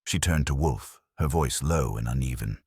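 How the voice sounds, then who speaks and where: A man's voice: very deep voice, normal speed, vocal fry, darkish tone, breathy edge, dry recording, studio quality. A man reading a first-person detective story as scripted narration. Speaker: audiobook narrator.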